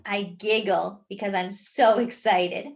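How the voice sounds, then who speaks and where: A woman reading a children's picture book aloud, expressively and with animated delivery for young listeners. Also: medium pitch, gravelly. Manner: animated, pausing to explain words.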